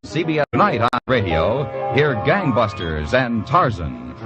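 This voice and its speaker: A man's voice: mid-range voice, very animated, measured pace. A man with an American accent announcing in a polished, dramatic, scripted vintage radio style. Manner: polished, dramatic promotional delivery. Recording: dull old radio recording under loud music.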